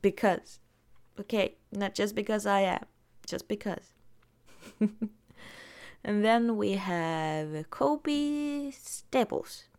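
A woman from Norway with a Norwegian accent, whose first language is Norwegian, casually reading out viewer comments and laughing softly between them. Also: medium pitch. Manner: slow, giggly, soft-spoken. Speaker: vlogger.

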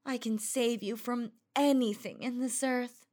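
A woman's voice: fairly high pitch, fairly even intonation, a little slow, a little mellow. A woman voicing an obsessive, possessive female demon who speaks in a sultry, coaxing way that turns to cold threats. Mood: pleading possessive. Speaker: voice actor. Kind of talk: audio roleplay monologue.

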